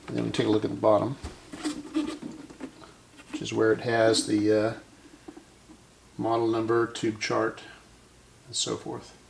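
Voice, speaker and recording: Creaky-voiced man thinking aloud. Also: fairly low pitch, monotone, a little slow, long pauses, a little hiss or hum. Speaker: host of a vintage radio repair video. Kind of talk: casual hands-on narration while working.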